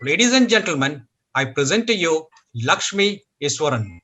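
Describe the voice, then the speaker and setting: High, bright-voiced male talk show host. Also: very animated, moderate pace. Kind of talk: formal guest introduction and interview questions.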